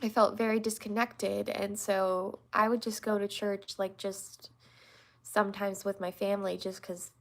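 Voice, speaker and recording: A woman's voice: medium pitch, level tone, a little slow, gravelly. A young woman who is a little congested, hosting a livestream and talking casually and earnestly about faith, answering viewers with frequent fillers and restarts. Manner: rambling, many restarts and fillers. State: congested from allergies.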